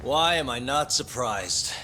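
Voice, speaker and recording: A man's voice: mid-range voice, animated, unhurried, pushed and bright, slight background noise. A man playing a tough, streetwise detective hero in a video game, talking in a sardonic, conversational way. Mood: dry, defiant. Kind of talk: video game cutscene acting. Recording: dramatic music under the dialogue.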